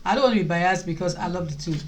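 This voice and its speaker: A woman's voice: lowish voice, racing. Nigerian woman hosting, noisy recording. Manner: repeats and rephrases her points. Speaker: vlog host. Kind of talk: casual conversational vlog.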